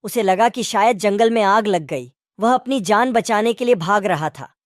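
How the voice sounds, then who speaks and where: A woman voicing a sensible male jackal in a children's animated story, questioning and reasoning as he talks. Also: medium pitch, normal speed, no echo, clean studio sound, close to an everyday voice. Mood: explanatory.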